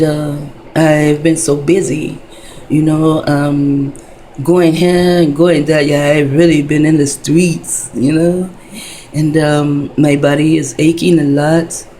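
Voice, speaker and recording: A woman's voice: low voice, flat delivery, takes it slowly, dark tone, slight background noise. A woman in her fifties talking in a rambling casual monologue, wandering between thoughts, full of fillers and profanity. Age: 50s.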